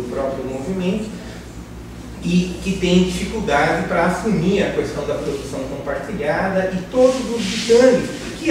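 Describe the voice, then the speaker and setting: A middle-aged Brazilian man giving an academic lecture in a large bare classroom, speaking in a measured, thoughtful way with hesitant restarts and a frequent questioning tag. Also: a little high. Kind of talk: academic lecture to course participants. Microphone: far camera, no mic. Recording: echoey room from far off, noisy.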